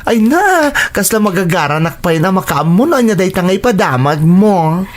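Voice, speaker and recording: A woman playing an excitable, supportive work friend in a radio drama, chatty and exclaiming, with an Ilocano Filipino accent. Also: lowish voice, moderate pace. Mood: chatty, curious.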